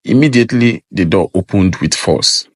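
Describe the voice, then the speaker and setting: A man's voice: deep voice, expressive, normal speed, no room sound, clean studio sound. A man narrating a scripted folktale as a storyteller.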